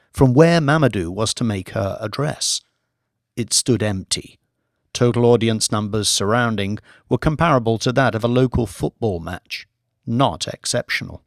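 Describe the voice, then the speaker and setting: A man reading a scripted dramatic audiobook narration in measured, short declarative phrases. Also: mid-range voice, no room sound, studio quality.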